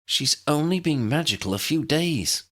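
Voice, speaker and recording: A man voicing an excitable, bossy young witch in a story, speaking with urgent emphasis. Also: fairly high pitch, moderate pace, pitched above the performer's own voice. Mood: breathless, excited.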